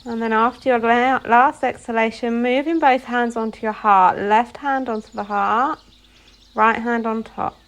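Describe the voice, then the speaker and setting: A woman guides a relaxation practice as a yoga instructor. Her voice sits a little high and has a marked creak to it. She gives soothing instructions in short phrases, leaving pauses between them. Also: steady tone. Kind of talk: guided meditation.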